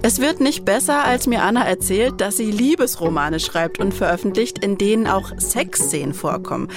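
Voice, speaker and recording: Woman host with measured narration. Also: medium pitch, music in the background. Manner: clear, measured storytelling delivery. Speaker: podcast host and interviewer. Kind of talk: scripted narration and interview questions.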